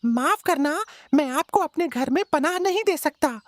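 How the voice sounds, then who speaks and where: A woman's voice: sits high, animated, normal speed, no echo, studio quality. A woman voicing an anxious, apologetic male parrot in a cartoon story. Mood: apologetic refusal.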